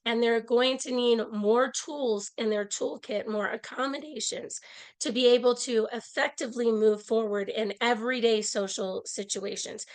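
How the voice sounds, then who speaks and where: Slightly hoarse woman presenting a workshop. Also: a little high. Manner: earnest, explanatory, with frequent restarts. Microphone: earbud mic on a video call.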